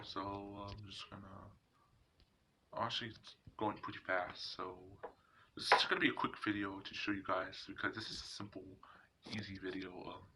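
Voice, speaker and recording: A man hosting a casual screen tutorial, talking informally and hesitantly through each step. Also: on the low side. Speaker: tutorial video host. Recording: muffled lo-fi mic with loud noise and clicks.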